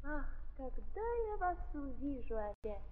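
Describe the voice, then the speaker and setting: High, gushing society coquette. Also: female voice, expressive, a little slow, a little hiss or hum. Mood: intimate, coaxing. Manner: theatrical, affected, slips into French phrases. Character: gushing, coquettish society lady feigning deep compassion. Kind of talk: 1930s Russian stage radio drama acting. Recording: muffled 1930s recording, hall reverb.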